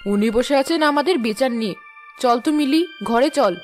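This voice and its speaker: A woman's voice: high voice, moderate pace, close to an everyday voice. A woman playing a cruel, scheming aunt, scolding and sarcastic, in a cartoon melodrama. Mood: resentful. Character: shrewish, scheming, cruel aunt bullying her orphaned niece. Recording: music behind the voice. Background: background music.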